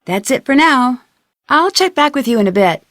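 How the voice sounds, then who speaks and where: Inquisitive high-voiced young female detective. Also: very animated, moderate pace, fairly bright tone, no room sound, clean studio sound. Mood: determined, earnest. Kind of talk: video game voice acting.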